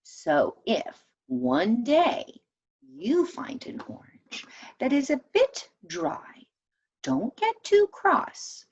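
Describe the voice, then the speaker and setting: A woman reading a children's story aloud as an expressive storyteller. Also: high voice, drawn-out words, lo-fi sound.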